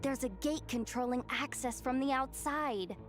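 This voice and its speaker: High, cocky young treasure-hunter heroine played by a woman for a video game, confident playful leader, music underneath. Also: moderate pace, lightly acted. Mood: brisk, focused.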